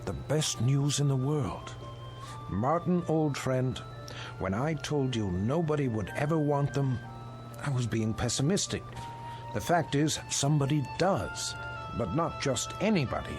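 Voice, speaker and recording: An actor playing a practical, brisk museum owner in an audio drama, speaking in a reasoning, persuasive and sometimes dismissive way. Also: male voice, medium pitch, expressive, over music, natural voice. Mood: upbeat, excited.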